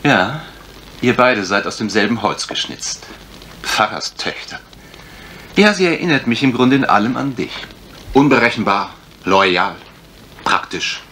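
A jovial gentleman detective in a radio crime play. His delivery swings widely, teasing and affectionate, over clearly audible background noise. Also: on the low side, animated, measured pace, long pauses. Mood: admiring. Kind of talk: German radio crime drama acting. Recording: noisy retro radio play recording.

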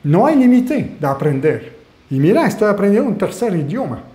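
French-Canadian male webinar host, animated, asks the room questions, roomy echo on a webcam recording. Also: high voice, moderate pace. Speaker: online marketing mentor. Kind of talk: webinar presentation.

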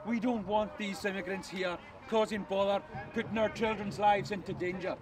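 A middle-aged man speaking to a protest crowd without a megaphone, projecting his big voice forcefully. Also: high-pitched, steady tone, normal speed. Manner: loud unamplified address, forceful. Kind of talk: street protest speech. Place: outdoors by a hotel car park. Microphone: far camera, no megaphone. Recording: distant outdoor voice, crowd noise.

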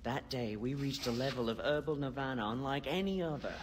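A man's voice: mid-range voice, brisk, long reverb tail, noisy background, close to an everyday voice. A man playing a goofy, rambling British stoner in a video game, jokey and dramatic. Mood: dreamy storytelling. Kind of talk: video game cutscene acting.

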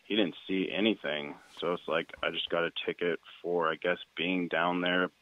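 A man's voice: deep voice, fairly even intonation, normal speed, no room sound. An American man calling in over a tinny phone line, casually telling a personal story. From Washington state, United States. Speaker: caller. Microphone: phone call.

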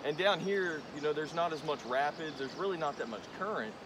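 A man's voice: fairly high pitch, background noise. A young man hosting a fishing vlog, chatting casually and explaining his technique while casting from a riverbank, recorded outdoors on a camera set on a tripod. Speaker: fishing channel host. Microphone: tripod camera at a distance. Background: rushing river current.

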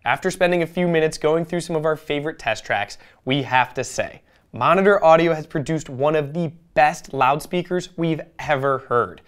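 Polished young man presenting a scripted review. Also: on the high side. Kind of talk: scripted product review narration. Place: indoor studio, seated at a table.

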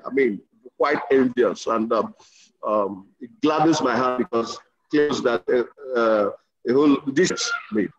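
A man's voice: mid-range voice, lo-fi sound. A man speaking thoughtfully and earnestly as a panelist in a video-call discussion. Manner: thoughtful, earnest, slow with pauses. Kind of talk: reflective discussion.

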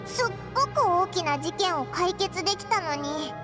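A woman voicing a small, childlike, cheeky fairy companion in an anime-style game, squeaky and blunt with boyish casual phrasing. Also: expressive, normal speed, loud music behind the voice. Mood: glum.

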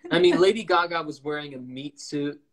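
A young man chatting in a relaxed, easygoing way on a livestream video call, speaking into a phone held at arm's length. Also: a little high, fairly quick. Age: young adult. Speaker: livestream co-host. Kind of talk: casual chat on a video call. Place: room with shelves indoors.

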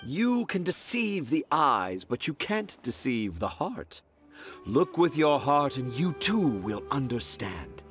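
A man's voice: mid-range voice, very animated, takes it slowly. A young man voicing a pompous, theatrical male storyteller in a game, talking in grand, flowery speeches. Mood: mysterious. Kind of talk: studio game voice acting. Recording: game audio with soft background music.

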